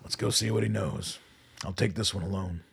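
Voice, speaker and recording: An actor playing a hardened, weary army colonel in a radio play, gravelly and husky, with a dry, deliberate manner. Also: male voice, sits low, exaggerated voice. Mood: quiet, gentle.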